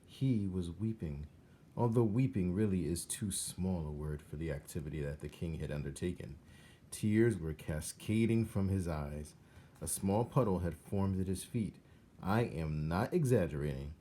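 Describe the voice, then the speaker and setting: A man reading a children's story aloud as an expressive narrator. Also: deep voice, moderate pace, slight background noise.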